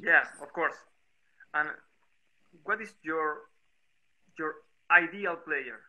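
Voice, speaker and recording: A man who is a native Spanish speaker, interviewing informally over a video call and searching haltingly for his English words. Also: fairly high pitch, sharp tone, dull audio. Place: video call on social media live stream.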